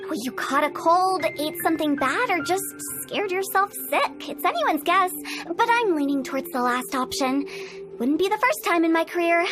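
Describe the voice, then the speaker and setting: A woman's voice: very high voice, lively intonation, normal speed, over music. An actress playing an eccentric, mischievous young female funeral director in a video game, talking in a theatrical, teasing, self-assured way. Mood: gleeful, teasing. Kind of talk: video game character acting.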